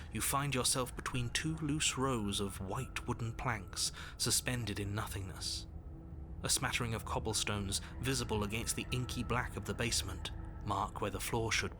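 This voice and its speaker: An actor voicing a stern, impatient narrator in a video game, with a measured, authoritative delivery. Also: male voice, fairly low pitch, fairly even intonation, background noise, lightly acted. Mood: measured, insistent. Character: stern male narrator, commanding, impatient, dryly insistent. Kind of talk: scripted second-person game narration.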